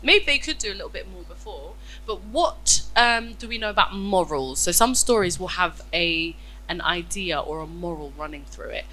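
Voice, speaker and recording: A woman's voice: fairly low pitch, animated, normal speed, pushed and bright, low-quality recording. Stuffy-nosed woman teaching children. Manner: encouraging, asks questions and praises. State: stuffy nose from a cold. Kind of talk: interactive lesson with children.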